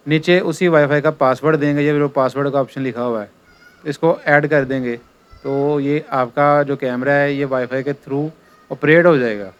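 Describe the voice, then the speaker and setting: A man's voice: mid-range voice, fairly even intonation, moderate pace, clean tone, muffled recording. A man giving an informal hands-on tutorial as an installer, explaining step by step and repeating his instructions.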